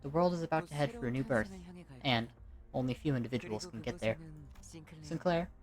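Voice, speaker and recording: A calm, cryptic male stranger in an amateur game-story dub, read by the narrator. He speaks in a measured, airy way with quiet authority. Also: medium pitch, music in the background, close to an everyday voice. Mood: serene.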